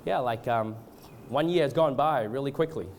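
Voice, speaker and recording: A man from Australia and Canada with a cold, speaking as a preacher in an informal, halting, hesitant way. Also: medium pitch, slight background noise. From born in Australia, moved to Canada. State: has a cold. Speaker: guest preacher at a church revival gathering. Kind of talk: informal sermon with congregational prayer.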